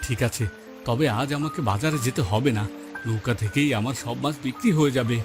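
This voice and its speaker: A man's voice: mid-range voice, fairly quick, music in the background, close to an everyday voice. A man voicing an earnest, humble poor village fisherman, a husband and father, in a cartoon story. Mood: delighted. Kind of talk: Bengali moral story cartoon dubbing.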